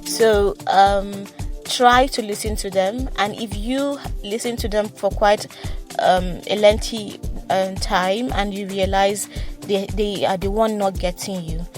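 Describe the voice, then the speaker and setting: A woman hosting her own advice podcast, with a voice of middling pitch and a slight stutter. She talks fast, often repeating words and starting sentences over. Clear music plays behind her throughout. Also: fairly even intonation. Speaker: podcast host. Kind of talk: solo advice podcast talk.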